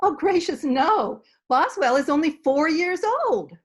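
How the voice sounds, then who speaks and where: A woman's voice: sits high, expressive, measured pace. A woman playing a polite, genteel dog-loving lady in a radio comedy, prim and earnest. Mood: genteel, fond. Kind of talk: old-time radio comedy acting.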